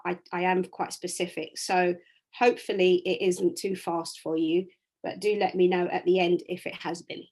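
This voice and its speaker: A woman presenting a live online wellbeing workshop over a video call, chatty and direct with many ums and restarts, her voice slightly raspy today. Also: mid-range voice.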